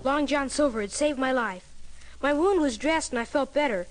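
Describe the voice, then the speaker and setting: A man's voice: very high-pitched, normal speed, poor audio. A boy actor playing an earnest, brave young adventurer who narrates his own tale dramatically. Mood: earnest storytelling. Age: child or young teen. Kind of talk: dramatic first-person story narration. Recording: orchestral music behind.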